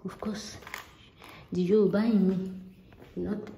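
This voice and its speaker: A woman's voice: medium pitch, flat delivery, unhurried, mellow. A young Nigerian woman with a Nigerian accent, chatting to viewers while packing and dropping in Yoruba phrases, filmed on a handheld camera. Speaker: vlogger. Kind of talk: casual vlog chat. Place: large empty house with tiled floors, indoors. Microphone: handheld camera at arm's length. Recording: echoey empty room with clear noise.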